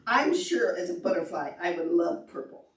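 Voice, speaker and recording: A woman voicing a picky, delighted butterfly for small children, musing playfully with drawn-out words. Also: on the low side, animated, natural voice. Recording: echoey room, distant camera microphone.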